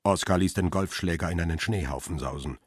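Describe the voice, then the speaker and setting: Very deep, husky crime narrator. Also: male voice, no room sound, clean studio sound. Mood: tense, restrained. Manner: measured, descriptive storytelling. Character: tense hunted photographer telling his own story. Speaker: narrator and protagonist. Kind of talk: radio crime drama narration.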